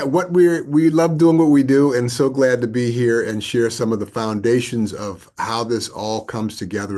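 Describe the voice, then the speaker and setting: A middle-aged man co-hosting a workshop, his voice slightly low. He speaks earnestly and loosely, searching for words as he explains. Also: a little mellow. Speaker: tantra workshop co-host. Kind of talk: casual workshop talk.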